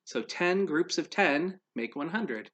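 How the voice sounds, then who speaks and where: A man reading a storybook aloud to children in an expressive, teacherly way, putting questions to his listeners. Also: on the high side, highs cut off. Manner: pauses to quiz young listeners.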